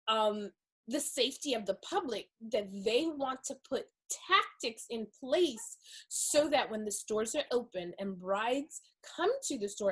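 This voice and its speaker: A woman with a fairly high, husky voice hosts a magazine interview. She gushes with enthusiasm and showers her guest with compliments. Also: rough recording. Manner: effusive, gushing with compliments.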